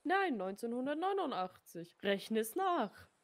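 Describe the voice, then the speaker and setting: A chatty woman in her thirties, bantering in a game roleplay with a slightly higher voice than most. She swears casually and laughs easily. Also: very animated, unhurried. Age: 30s.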